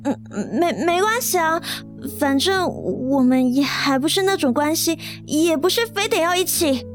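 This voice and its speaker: High-voiced eager young office woman. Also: animated, unhurried, faint music behind. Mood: bashful, grumbling. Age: young adult. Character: eager, bashful young female office employee. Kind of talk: radio drama acting.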